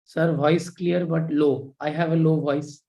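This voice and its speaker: A man teaching an online history class on a video call, reading out students' chat messages with many pauses and fillers. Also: fairly high pitch, flat delivery, vocal fry, dark tone, low-quality recording.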